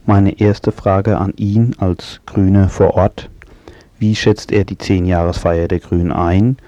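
A man's voice: sits low, little pitch movement, slow, mellow, dry recording. A man reading a scripted radio report as a reporter. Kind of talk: scripted news report narration.

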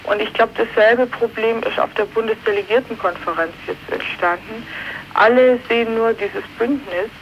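A woman politician giving spontaneous answers in a radio interview, phrasing her points in a halting, deliberate way. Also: fairly high pitch, muffled recording, slight background noise. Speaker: politician, member of parliament being interviewed.

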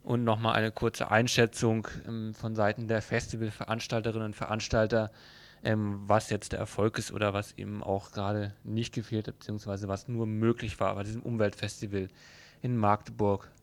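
A man's voice: on the low side, monotone, moderate pace. Man hosting an informal radio news magazine.

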